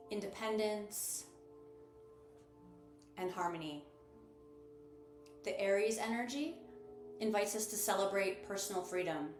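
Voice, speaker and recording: A woman leading a guided meditation as host, speaking in a measured, instructive and gently encouraging manner. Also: medium pitch. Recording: roomy echo with music behind. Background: music.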